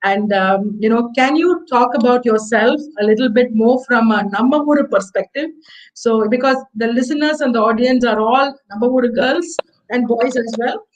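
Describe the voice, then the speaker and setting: A woman's voice: a little high, moderate pace, rough recording. A woman with an Indian accent, hosting an online fireside chat in a chatty, effusive, rambling way. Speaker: host introducing a guest speaker.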